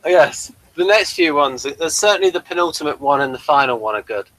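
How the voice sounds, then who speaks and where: A man hosting a casual review chat in a mild, understated and somewhat halting way. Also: on the high side, animated, a bit bright, rough recording. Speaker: host of a review show.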